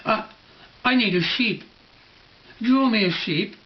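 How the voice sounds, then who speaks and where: A man voicing a small, gentle, insistent little boy in a storybook, speaking haltingly. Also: high voice, lo-fi sound, voice pushed up. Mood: earnest, insistent.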